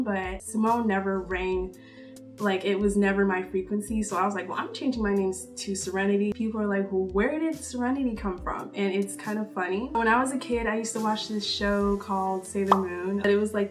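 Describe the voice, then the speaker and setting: A young woman in her twenties chats to the camera in a relaxed, upbeat way, peppering her talk with "like". She sits a little back from the mic in an indoor room, and soft music plays faintly underneath. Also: mid-range voice, recorded from a distance. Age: 20s. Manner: chatty, upbeat, many "like" fillers. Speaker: YouTuber. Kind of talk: casual get-to-know-me vlog. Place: indoor room, seated before purple backdrop.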